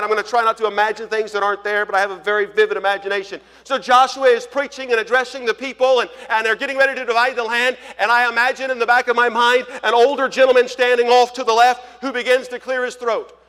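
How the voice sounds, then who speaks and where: A man's voice: very high-pitched, level tone, quick pace, few pauses, in a large hall. A pastor in his forties from Colorado preaching a conversational, storytelling sermon. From Colorado, USA. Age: 40s. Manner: storytelling, conversational, swings from loud to hushed. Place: church.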